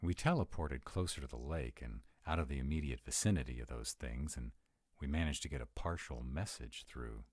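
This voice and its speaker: Vampire for audio drama, male actor: dutiful, protective, very low register, lively inflection, close dry sound. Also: fairly quick, slightly rough, no echo, heavily acted. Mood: uneasy, recounting. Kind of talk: roleplay audio drama acting.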